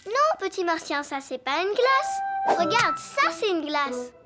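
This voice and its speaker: A performer voicing a kind, excitable little girl in a French children's cartoon, with an expressive, exclamatory delivery. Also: very high-pitched, unhurried, over loud music, exaggerated voice. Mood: gentle.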